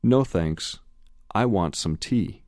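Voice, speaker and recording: Man reading a polite textbook character, very low voice, slow careful delivery, dry close sound. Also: expressive, a little mellow, no room sound. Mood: plain polite. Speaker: dialogue reader in an English lesson. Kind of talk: slow scripted textbook dialogue.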